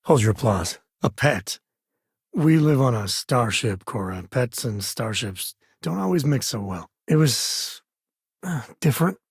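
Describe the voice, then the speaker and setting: Husky, wry spacefaring ranger. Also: male voice, medium pitch, lively intonation, unhurried, no room sound, clean studio sound, exaggerated voice. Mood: easygoing, candid. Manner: folksy, wry, casual drawl of words. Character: roguish spacefaring frontier ranger, protective father with wry humor. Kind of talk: video game voice acting, short dialogue lines.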